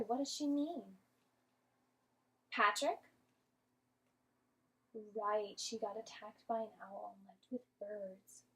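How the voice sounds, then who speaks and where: Woman, high-pitched voice, teacher, expressive storybook reading, patient, questioning, speaking to small kids. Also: poor audio. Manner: expressive, questioning, patient explaining to young children. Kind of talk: interactive storybook read-aloud to children.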